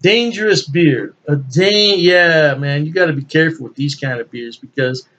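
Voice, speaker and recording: A man's voice: fairly high pitch, moderate pace, bright, forceful tone, dull audio. A man in his sixties chatting casually to camera as he hosts a review, enthusiastic and emphatic, often repeating phrases for emphasis. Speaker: beer reviewer, channel host. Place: small indoor room at home.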